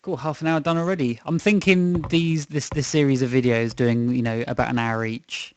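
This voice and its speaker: A man with a croaky voice chatting casually while setting up and driving in a racing game on a live stream, thinking aloud as he goes. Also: medium pitch, fairly even intonation, moderate pace, darkish tone. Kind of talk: casual gaming stream chat. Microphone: desk microphone.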